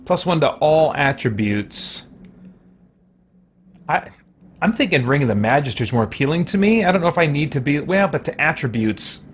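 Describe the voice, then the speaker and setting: A man's voice: on the high side, expressive, normal speed, a little hiss or hum. A man hosting a game livestream, thinking aloud in a casual, rambling way as he chats with viewers.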